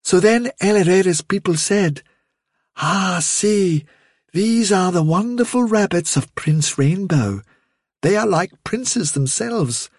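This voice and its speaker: Male reader as mother rabbit, high voice, lilting storyteller, animated intonation, storybook fairy tale. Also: slow, husky, no room sound, clean studio sound, heavily acted. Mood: rapt, storytelling.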